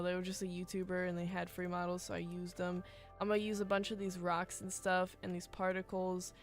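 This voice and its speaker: A woman livestreaming as she builds a game, talking in a chatty, rambling way with lots of fillers, her voice a little hoarse from a cold. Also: mid-range voice, a bit bright. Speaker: game streamer. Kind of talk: casual livestream chat while building.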